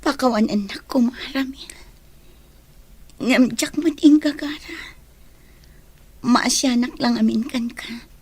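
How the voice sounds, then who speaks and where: High-voiced, guilt-ridden young Filipino woman. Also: slow pace, long pauses, darkish tone, husky, exaggerated voice. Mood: remorseful, tearful. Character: guilt-ridden, self-sacrificing young woman in a love triangle. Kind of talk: radio drama acting.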